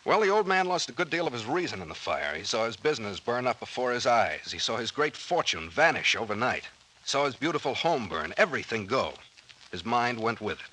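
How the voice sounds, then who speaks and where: An actor playing a confident detective captain in a 1940s radio drama, brisk and slangy as he lays out a mystery. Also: medium pitch, big pitch swings, pushed and bright, a little hiss or hum, rough recording. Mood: explaining, assured.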